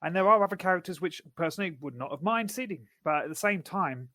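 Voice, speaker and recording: A man co-hosting a podcast, talking through his views on a film in a measured, thoughtful way. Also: high-pitched, expressive, pushed and bright, no echo, studio quality. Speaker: podcast co-host. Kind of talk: casual film discussion.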